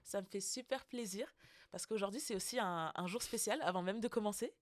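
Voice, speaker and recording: A woman's voice: mid-range voice, brisk. Friendly woman podcast host, many fillers. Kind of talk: casual podcast interview.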